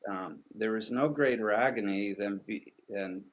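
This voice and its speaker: A middle-aged man from Sweden, whose first language is Swedish, speaking as an artist and author in an interview, thoughtful and halting with many restarts. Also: fairly low pitch, highs cut off. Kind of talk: reflective interview conversation.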